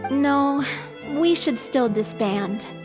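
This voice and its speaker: This is a frail young performer from a video game, speaking high and gently with a weary, matter-of-fact air. The voice rings in a long reverb over faint music. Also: female voice, animated, extremely slow, exaggerated voice. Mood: gentle, resigned. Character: frail but resilient young troupe performer, resigned and gentle. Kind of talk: scripted video game voice acting.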